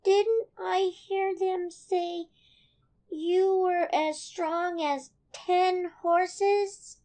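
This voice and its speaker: A little storybook youngster, earnest and wide-eyed. The voice is tiny and extremely high. Words are stretched out very slowly. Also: female voice, steady tone, warm tone, exaggerated voice. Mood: innocent, pleading. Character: tiny, earnest, excitable young child or young camel.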